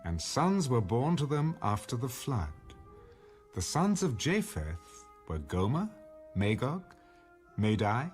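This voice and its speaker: A man reading scripture as a scripted audio Bible narration, in a measured, expressive storytelling delivery. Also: medium pitch, soft background music.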